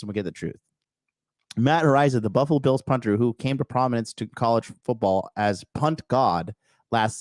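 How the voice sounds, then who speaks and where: A man hosting a livestream, reading an article aloud and answering the chat in a blunt, crude way, with a raspy, slightly nasal voice. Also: medium pitch, a little mellow, dry recording. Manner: blunt, crude, talks back to live chat. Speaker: podcast host.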